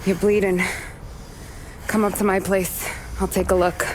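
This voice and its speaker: A woman's voice: mid-range voice, level tone, unhurried, background noise, lightly acted. An actress playing a tough, sardonic young woman who talks bluntly and bosses people around. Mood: wry. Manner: blunt, wry, bossy. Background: game score music.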